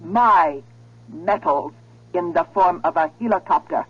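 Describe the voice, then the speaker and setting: An actor playing an insistent, ominous otherworldly voice that commands slowly in a 1970s radio drama. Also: male voice, sits high, a bit bright, slight background noise. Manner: slow, commanding. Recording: muffled old radio broadcast.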